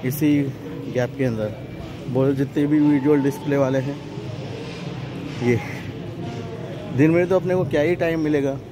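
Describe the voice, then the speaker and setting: A man's voice: a little high, steady tone, normal speed, pauses often, a crowd in the background, rough recording. A man chatting casually to his phone camera for a vlog, mixing Hindi with English phrases. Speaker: vlogger. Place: busy expo hall, later a restaurant. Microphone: phone camera held at arm's length.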